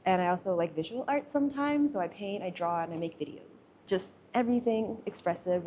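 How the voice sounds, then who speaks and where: A woman's voice: fairly low pitch, a little mellow, slight background noise. Chatty young woman from Taiwan. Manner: chatty, uses many fillers. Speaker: sound artist guest. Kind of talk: casual conversation.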